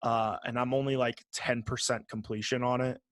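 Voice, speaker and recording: A man's voice: medium pitch, flat delivery, normal speed, a bit bright, dry recording, studio quality. A man co-hosting a podcast, chatting casually about video games with wry mock-formal phrasing and some swearing. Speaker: podcast co-host.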